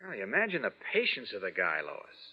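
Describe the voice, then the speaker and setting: An actor playing a confident, crisp newspaper reporter who takes charge, in a 1940s radio acting style. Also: male voice, on the low side, huge pitch swings, fast, full character voice. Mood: businesslike. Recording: dull lo-fi vintage radio recording.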